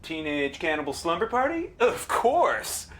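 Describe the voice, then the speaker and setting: A woman's voice: fairly low pitch, very animated, unhurried. A woman playing a creepy, pushy male amateur filmmaker in an audio drama, over-familiar and wheedling. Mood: smarmy, wheedling. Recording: noticeable background noise and ambience.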